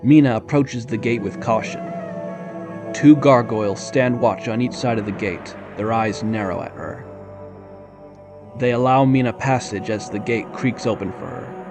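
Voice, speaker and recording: A man's voice: mid-range voice, normal speed, over music. A man narrating an audio drama from a script in a storytelling style.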